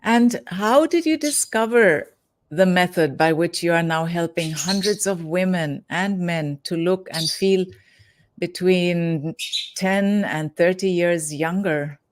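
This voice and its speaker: A woman with a somewhat low voice hosts an interview at an unhurried pace. She frames her questions in an encouraging way. Her delivery is measured and steady. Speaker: interview host. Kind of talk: scripted interview introduction and questions.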